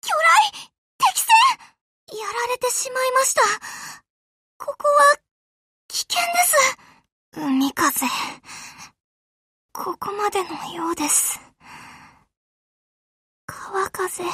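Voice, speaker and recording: An actress voicing a gentle, courteous young warship girl who serves her admiral in an anime game, with a deferential, polite manner. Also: extremely high pitch, no echo, studio quality, exaggerated voice. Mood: alarmed, hurt, then sad, fading. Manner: soft-spoken, courteous, deferential. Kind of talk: Japanese anime game voice acting.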